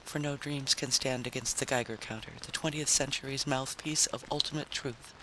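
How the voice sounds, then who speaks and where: A man's voice: medium pitch, fairly even intonation, normal speed. A man narrating a story in a dramatic, scripted style. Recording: faint background noise.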